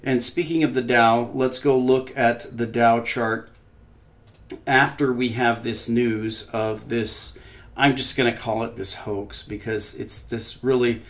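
A man hosting casual market commentary over a shared screen. He talks in a loose, conversational way, pausing often with fillers and dropping skeptical, opinionated asides. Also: mid-range voice, fairly even intonation. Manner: conversational, frequent pauses and "uh" fillers. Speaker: financial commentary host.

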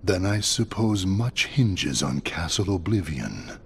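A man voicing a grave, enigmatic leader of a hooded order in a game cutscene, solemn and measured, cold and calm. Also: low voice, creaky, slight background noise, exaggerated voice. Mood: grave, commanding. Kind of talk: video game cutscene acting.

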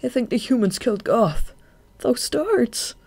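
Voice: medium pitch, unhurried, gravelly, no room sound, voice pushed up. A storybook narrator voicing a frightened, guilt-ridden young male bat with urgent, emotional outbursts. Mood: shaken.

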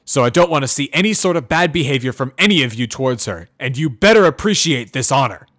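A man's voice: mid-range voice, expressive, fast talker, a bit bright, pitched above the performer's own voice. A man voicing a stern, bullying pony prison guard in a dramatic story reading, barking commands with contempt. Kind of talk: dramatic reading of fan fiction.

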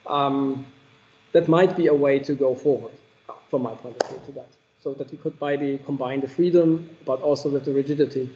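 A man's voice: mid-range voice, steady tone, moderate pace, mellow, highs cut off, a little hiss or hum. A man answering audience questions after a technical keynote, thoughtful and hesitant, with many fillers.

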